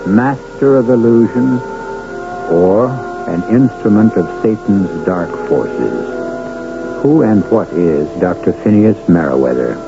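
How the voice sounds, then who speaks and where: A male host narrates a radio mystery with deliberate, portentous phrasing. His voice is lowish and creaky, on a dull vintage broadcast recording. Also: lively intonation, music in the background.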